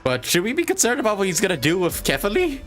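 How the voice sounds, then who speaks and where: A man's voice: high voice, wildly swooping pitch, fast talker, a bit bright, soft background music. A man livestreaming casual gameplay commentary in an irreverent, profane, joking manner.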